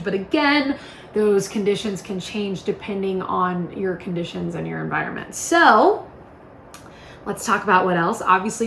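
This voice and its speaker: A woman giving a casual product demonstration in an upbeat, informal, chatty way. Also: mid-range voice. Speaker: product presenter. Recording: echoey room with faint noise.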